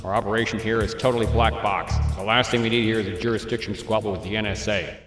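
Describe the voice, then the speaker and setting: A man's voice: lowish voice, steady tone, fast, fairly bright tone, close to an everyday voice. An actor playing a cold male agency field commander who gives clipped orders. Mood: commanding. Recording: echoey game cutscene audio with music.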